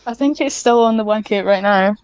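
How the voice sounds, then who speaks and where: A woman's voice: mid-range voice, brisk, darkish tone, low-quality recording. A woman chatting casually as a podcast guest, hesitant and self-deprecating, with a giggly manner. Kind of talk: casual interview chat.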